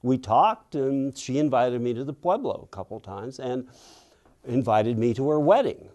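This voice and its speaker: A man's voice: mid-range voice, animated, a little mellow, highs cut off. An older man from Western Pennsylvania, an author telling reflective stories in an interview and acting out the voices of people he quotes. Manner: thoughtful, unhurried, acts out quoted people.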